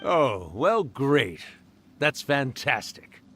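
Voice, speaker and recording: A man's voice: medium pitch, very animated, very slow, fairly bright tone, slight background noise, full character voice. A man playing an ancient, weary spirit storyteller in a video game, musing fondly and theatrically. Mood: fond, delighted. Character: ancient male yokai storyteller, wistful, affectionate, weary.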